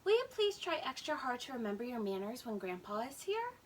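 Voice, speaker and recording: A patient mother alligator from a children's storybook, acted by a woman reader. She gives gentle reminders in a high, very lively voice, a little away from the mic. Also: a little fast, far from the mic. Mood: admonishing.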